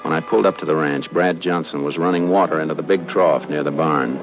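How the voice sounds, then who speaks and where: Deep-voiced, laconic Texas Ranger. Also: male voice, steady tone, brisk, loud music behind the voice. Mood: measured, narrating. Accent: American. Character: steady, shrewd Texas Ranger investigating a murder. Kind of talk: 1950s radio drama acting and first-person narration. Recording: muffled, noisy old-time radio recording.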